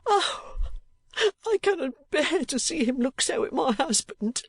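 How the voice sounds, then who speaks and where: A man's voice: very animated, measured pace, vocal fry, no room sound, heavily acted. A man reading aloud, voicing an overwrought, tearful middle-aged wife and mother who gushes with emotion. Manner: shrill, tearful and effusive. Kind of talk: audiobook character voice.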